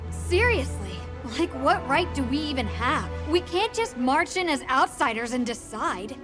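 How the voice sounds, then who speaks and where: A woman's voice: high-pitched, expressive, measured pace, over music. A woman voicing a friendly, chatty young expedition handler in video game cutscene dialogue. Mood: troubled.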